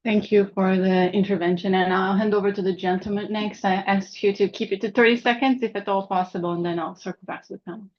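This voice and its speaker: A woman moderating a panel discussion, brisk and polite as she keeps speakers to time. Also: mid-range voice, steady tone, dull audio.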